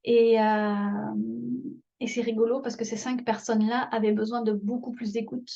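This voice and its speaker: A woman's voice: on the high side, little pitch movement, normal speed, dark tone, highs cut off. A French-accented woman who leads parenting workshops, talking casually to camera, full of fillers and restarts. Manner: chatty, many fillers and restarts.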